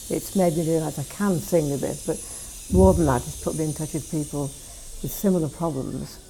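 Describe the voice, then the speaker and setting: A woman's voice: low-pitched, fairly even intonation, moderate pace, gravelly, dark tone, slight background noise, low-quality recording. A woman speaking earnestly about singing and making new friends. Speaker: singing group participant. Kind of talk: interview testimony.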